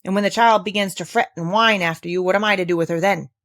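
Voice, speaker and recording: A woman voicing a curt, stern old mountain grandfather in a storybook reading, gruff and commanding. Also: mid-range voice, fairly even intonation, fast talker, fairly bright tone, close to an everyday voice. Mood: challenging. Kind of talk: storybook read-aloud character voice.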